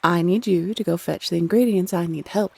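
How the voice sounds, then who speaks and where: Woman acting a husky, sultry teasing Cupid. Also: fairly low pitch, a little mellow, dry recording. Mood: playful, matter-of-fact. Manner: sultry, coy, teasing, drawn-out phrasing. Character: teasing, flirty female Cupid spirit, playfully condescending to humans. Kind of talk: intimate roleplay audio acting.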